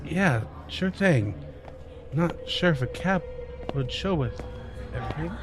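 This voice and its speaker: A man playing an awkward, bored young hotel clerk in an audio drama, halting and stammering but polite. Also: fairly high pitch, expressive, over music. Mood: concerned. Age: young adult.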